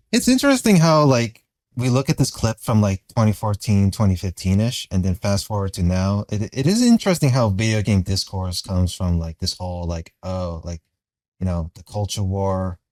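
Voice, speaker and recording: Low-voiced man giving rambling commentary. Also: expressive, normal speed, darkish tone, no echo. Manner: rambling, profane. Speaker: commentary video creator. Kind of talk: casual opinionated commentary.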